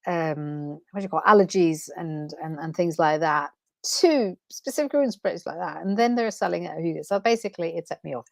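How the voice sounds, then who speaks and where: A woman's voice: lowish voice, gravelly, dry recording. A woman with a Danish accent, a transformation coach, chatting unscripted and a little nervously on her first livestream, passionate, with fillers and self-corrections. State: slightly nervous.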